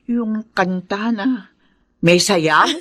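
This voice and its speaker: A woman's voice: mid-range voice, very slow, a bit bright, no echo, studio quality. An actress with a Filipino accent playing a proud, doting mother of grown children in a chatty, motherly radio drama manner.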